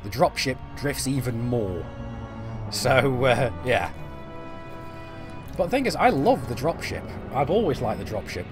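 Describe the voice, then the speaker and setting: Middle-aged man, game streamer, rambling chat to viewers, soft music under it. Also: medium pitch, big pitch swings, measured pace, pauses often. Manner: chatty, rambling, answers chat, frequent fillers and restarts. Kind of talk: casual live-stream chat while playing.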